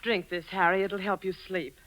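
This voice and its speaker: An actress playing a frightened, evasive wife with an anxious, faltering manner in a 1940s radio suspense drama. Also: female voice, medium pitch, little pitch movement, moderate pace, a bit bright, slight background noise, natural voice. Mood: subdued. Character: frightened, evasive, nervous wife hiding a secret. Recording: muffled vintage radio recording.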